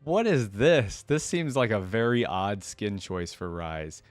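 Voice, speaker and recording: A man's voice: on the low side, expressive, a little slow, no echo. A man hosting a reaction video, commenting casually and informally as he thinks aloud.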